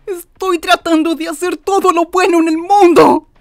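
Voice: extremely high pitch, steady tone, normal speed, no echo, studio quality. An actor voicing a petulant, anguished villainous angel in a fandub, given to indignant whining. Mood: distraught, outraged. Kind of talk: fandub character acting.

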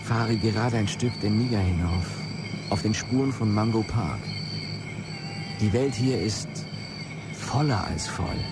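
A man's voice: fairly low pitch, unhurried, long pauses, heavy background noise, close to an everyday voice. A man playing a dreamy, eccentric visionary in a radio play, musing in terse phrases. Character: dreamy, eccentric male visionary founder travelling.

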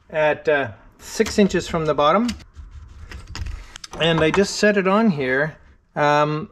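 Higher-voiced middle-aged man, noisy recording. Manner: talks haltingly while working, with pauses. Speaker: vlog host, boat restorer. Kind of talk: casual hands-on workshop narration to camera. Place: inside a wooden boat in a shed and at its workbench. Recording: noisy workshop recording with clicks.

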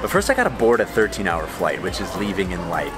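A man's voice: low-pitched, sing-song, over music. A man in his thirties with an American accent, vlogging casually to a handheld camera in a large airport terminal, joking as he talks. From United States. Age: 30s. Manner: chatty and joking, quick asides. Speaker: travel vlogger. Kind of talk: casual vlog talk to camera. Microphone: handheld camera at arm's length.

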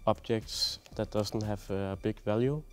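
A young man, a physical comedian, speaking hesitantly with many fillers in an interview on a dark theatre stage. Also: fairly low pitch, unhurried, soft background music. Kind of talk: casual interview.

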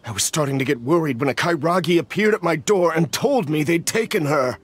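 A man's voice: fairly high pitch, animated, a little fast, natural voice. An actor playing an anxious middle-aged official and fretful father in a video game, flustered, earnest and explanatory. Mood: pleading.

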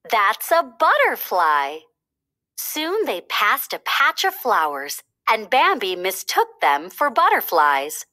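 A woman reading a children's storybook aloud as narrator, with expressive, deliberate delivery. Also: mid-range voice.

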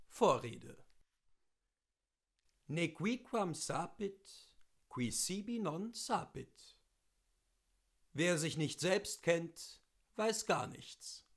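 A man reading classic literature aloud as a host, with deliberate, theatrical phrasing and weighty pauses. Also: high-pitched, a bit bright. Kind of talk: expressive literary reading, scripted narration.

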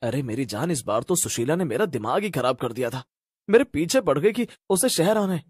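A deceitful young husband in a cartoon, voiced by a man. His pitch is fairly high and the sound is dry, with a dismissive, smooth-talking delivery. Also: very animated, fairly quick, no echo. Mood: conniving. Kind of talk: animated story dialogue.

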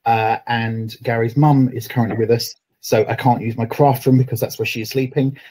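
A man's voice: medium pitch, level tone, brisk, darkish tone, rough recording. A middle-aged man co-hosting an online knitting chat from his bedroom over a video call, jokey and friendly. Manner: jokey and friendly, reads out viewer greetings. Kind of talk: casual chat. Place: bedroom at home. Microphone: laptop webcam on video call.

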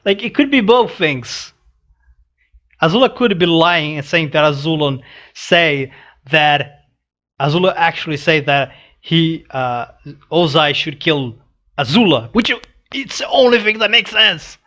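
A young adult man giving casual read-along commentary, halting and restarting his phrases as he goes. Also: a little high, animated, bright, forceful tone. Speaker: reaction video host. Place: small room indoors. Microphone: headset boom mic.

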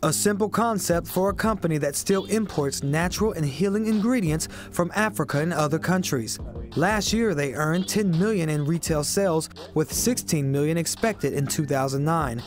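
A man's voice: on the high side, moderate pace. Polished young male news correspondent. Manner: crisp, polished reporter delivery. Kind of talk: scripted news voice-over.

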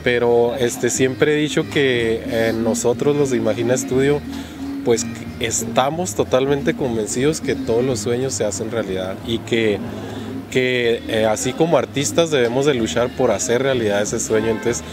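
A man's voice: mid-range voice, moderate pace, music in the background. A man in his thirties hosting an interview outdoors, chatty and friendly, with a handheld mic and many fillers. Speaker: host. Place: outdoors on a park bench by a street.

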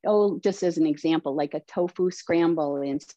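A woman teaching plant-based cooking, explaining earnestly and gently, with frequent fillers, on a video call from her home kitchen. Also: sits low, a little fast. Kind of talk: answering questions in a video call. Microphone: webcam at a distance. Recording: slightly dull, dry video-call audio.

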